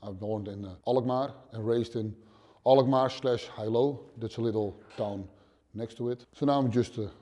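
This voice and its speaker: Thirties Dutch man, gym owner, blunt halting interview talk, mellow dark voice, dim gym. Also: mid-range voice, steady tone. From Netherlands. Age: 30s. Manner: plain, blunt, swears casually, short halting phrases. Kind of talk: casual interview answers.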